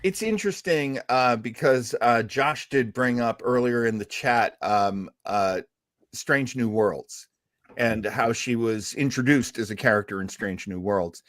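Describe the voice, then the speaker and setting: A man's voice: medium pitch, measured pace, a bit bright, dry recording, clean studio sound. An older man chatting casually as a podcast host in a fan discussion, with a self-deprecating manner.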